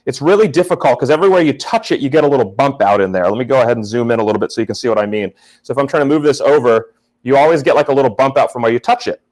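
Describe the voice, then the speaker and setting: A young man from Florida with a loud voice, teaching a casual live photography workshop and talking to viewers in the chat. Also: mid-range voice, very fast, rough recording. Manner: casual, upbeat, talks to chat. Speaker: photography instructor.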